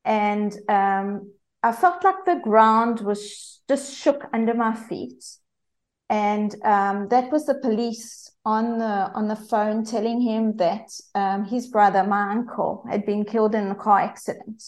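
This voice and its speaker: A woman's voice: mid-range voice, a little slow, a little mellow. A woman in her forties with a South African accent, a guest coach telling her personal story candidly with frequent fillers.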